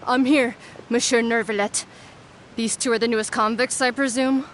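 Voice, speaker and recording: A woman playing a businesslike prison guard in a video game, brisk and official. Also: a little high, steady tone, a little hiss or hum, close to an everyday voice. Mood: confident, official.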